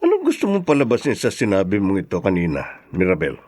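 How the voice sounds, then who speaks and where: A man's voice: deep voice, wildly swooping pitch, quick pace, a little mellow, exaggerated voice. An actor playing a middle-aged husband and father who turns curt and defensive when pushed. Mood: irritated. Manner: teasing, then curt and defensive. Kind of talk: TV drama acting.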